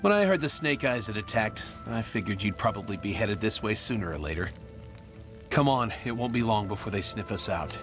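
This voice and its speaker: Man acting a low-voiced guarded resistance member. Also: animated, fairly quick, close to an everyday voice. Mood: guarded, practical. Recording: video game music behind.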